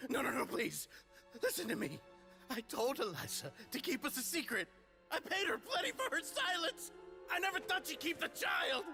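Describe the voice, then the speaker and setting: A woman's voice: high-pitched, very animated, normal speed, gravelly, bright, forceful tone, soft background music, voice pushed up, exaggerated voice. A woman voicing a cowardly, guilty man who stammers and pleads desperately in a dramatic audiobook reading. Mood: desperate pleading.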